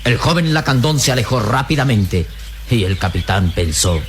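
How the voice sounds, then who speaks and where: A man's voice: mid-range voice, expressive, normal speed, noisy background. A man narrating a radio serial in a dramatic, suspenseful, declamatory manner.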